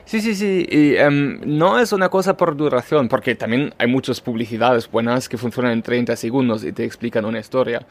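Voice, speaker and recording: A man with a German accent chats casually as a podcast co-host. He talks at an ordinary pitch and thinks aloud, pausing often on fillers and restarting his sentences. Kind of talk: casual chat.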